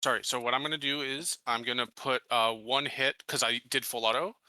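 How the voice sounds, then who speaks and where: A man's voice: medium pitch, steady tone, brisk, bright, forceful tone, dry recording. A man playing a tabletop game, explaining his moves step by step in casual talk. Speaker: player.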